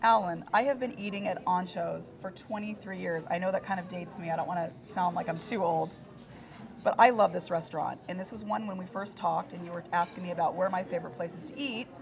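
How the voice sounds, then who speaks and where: Hoarse woman co-host, gravelly froggy voice, gushing about dishes. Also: on the low side, steady tone, a little fast, soft background music. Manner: enthusiastic, gushing about food. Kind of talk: casual chat on a food show. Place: restaurant dining room.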